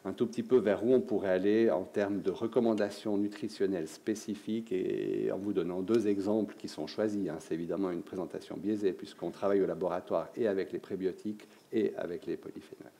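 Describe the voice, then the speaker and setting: A man's voice: deep voice, steady tone, fairly quick, creaky, few pauses, mellow. A man with a Swiss French accent giving a conference lecture on research, explaining step by step with restarts. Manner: explanatory, with restarts and filler words. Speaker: medical researcher giving a talk. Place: conference hall.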